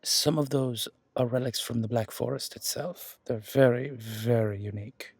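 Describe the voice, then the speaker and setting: A charming male museum guide from a supernatural town, acted by a man, with a gravelly, husky voice. He chats in a genteel, flirtatious way, full of little asides and emphatic repeats, in a close, dry ASMR roleplay recording. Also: mid-range voice, fairly even intonation, moderate pace, a little mellow, dry recording. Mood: chatty, informative. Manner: chatty, hesitant asides, emphatic repetitions, genteel. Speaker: character performer in an audio roleplay. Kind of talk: intimate ASMR roleplay monologue, conversational.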